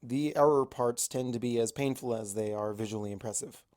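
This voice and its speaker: A man's voice: medium pitch, a little fast, a little mellow. A man reading a first-person adventure story aloud as an amateur audiobook narrator.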